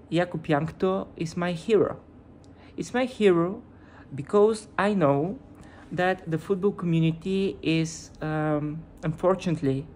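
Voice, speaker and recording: Slow, low-voiced Bulgarian-accented woman. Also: darkish tone, a little hiss or hum. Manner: hesitant, searching for words. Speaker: YouTuber. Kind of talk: casual vlog commentary.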